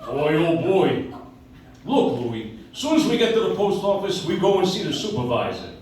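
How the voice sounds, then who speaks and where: A man's voice: fairly high pitch, sing-song, normal speed, a little mellow. An actor playing an eager, chummy city mailman in a live old-time radio play, recorded from the audience in an echoey room. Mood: eager, excitable. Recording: distant audience recording in echoey cafe, noisy.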